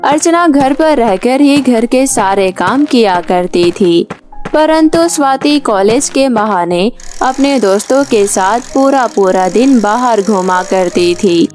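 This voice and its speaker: A woman narrating a cartoon moral story in a calm, measured way. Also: a little high. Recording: faint music behind.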